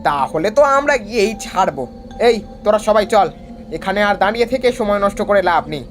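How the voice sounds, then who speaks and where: A man's voice: sits high, lively intonation, normal speed, fairly bright tone, faint music behind. A man playing a bold, insistent young traveller in a horror cartoon. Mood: dismissive, bold.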